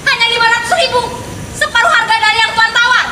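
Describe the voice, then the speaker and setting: A woman's voice: extremely high pitch, fast talker. A young woman playing a flustered, indignant married lady in a stage drama, exclaiming theatrically. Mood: haggling, affronted. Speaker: student stage actor. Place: outdoor car park stage. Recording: distant echoey stage recording with loud background noise.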